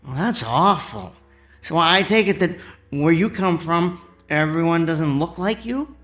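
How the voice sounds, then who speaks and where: An actor playing a mild-mannered, eccentric amateur scientist and henpecked husband in a radio drama, hesitant, stammering and earnest. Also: male voice, a little high, animated, unhurried, a bit bright, dry recording. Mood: curious, sympathetic.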